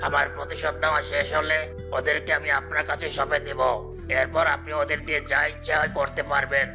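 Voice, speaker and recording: A man's voice: fairly high pitch, monotone, fairly quick, gravelly. A man voicing a vengeful, scheming snake who fawns on its master, in a cartoon with music behind. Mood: obsequious scheming. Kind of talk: dubbed cartoon dialogue. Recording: loud music bed under voice.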